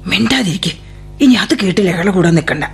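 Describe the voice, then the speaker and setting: A woman's voice: fairly low pitch, animated, brisk, gravelly, breathy edge, exaggerated voice. An actress playing a busy middle-aged mother calling the family to dinner. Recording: vintage radio broadcast with music and dishes.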